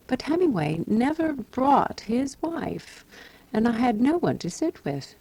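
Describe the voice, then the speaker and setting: An actress playing a prim, jealous, watchful lady companion who narrates a radio drama in a dry, deliberate, wry way. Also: a little high, sing-song, gravelly, mellow, breathy edge, exaggerated voice, poor audio.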